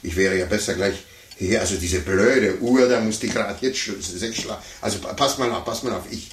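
An actor playing a pompous, condescending sore loser, stammering and blustering in a 1950s radio play. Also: male voice, fairly low pitch, moderate pace, lightly acted. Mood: flustered, blustering. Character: pompous adult man, a blustering, sore-losing chess player. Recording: roomy, noisy 1950s radio recording.

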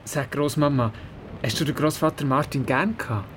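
A man's voice: on the high side, normal speed, fairly bright tone, slight background noise, lightly acted. A man with a Swiss German accent playing a young, curious boy who narrates and talks in a radio play. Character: young boy on holiday at his grandmother's, curious.

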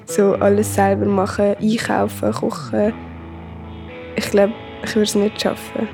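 A woman's voice: medium pitch, flat delivery, measured pace, gravelly, over music. A teenage girl with a Swiss German accent chats casually and tentatively in an interview about herself. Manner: tentative, unsure.

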